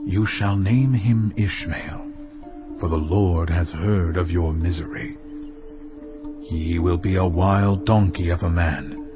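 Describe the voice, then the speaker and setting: An actor playing a calm angel of the Lord in a dramatized scripture reading, with measured, solemn authority. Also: male voice, deep voice. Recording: slightly dull audio, music behind. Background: background music.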